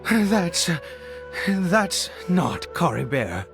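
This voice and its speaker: Halting, weary father, swinging pitch. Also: sing-song, vocal fry, exaggerated voice. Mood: alarmed, urgent. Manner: hesitant, halting, stammering. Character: weary, anxious, self-doubting ageing father in a fantasy world. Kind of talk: dramatic video game voice acting. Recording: background music under the voice.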